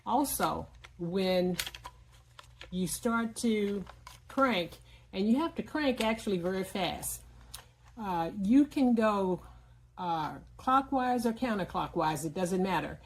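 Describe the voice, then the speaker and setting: A woman giving a casual, explanatory product demonstration in plain words, often pausing mid-sentence. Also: mid-range voice, lively intonation. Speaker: product reviewer.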